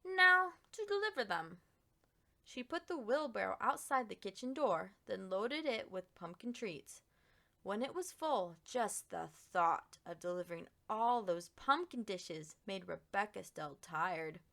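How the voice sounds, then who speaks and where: A woman reading a children's picture book aloud as an expressive storyteller with dramatic, deliberate emphasis. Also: mid-range voice, gravelly.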